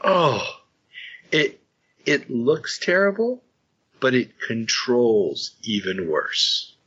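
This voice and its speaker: Male podcast host, chatty and self-mocking, husky voice, dull lo-fi sound. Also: mid-range voice, sing-song, drawn-out words, fairly bright tone. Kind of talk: casual chat. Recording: slightly dull lo-fi podcast audio.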